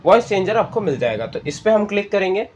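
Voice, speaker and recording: A man with an Indian accent and a slightly high-set voice presents a casual tutorial. He explains each step conversationally. He keeps checking in with the listener as he goes. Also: big pitch swings, fast talker, darkish tone, a little hiss or hum. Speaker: tutorial host.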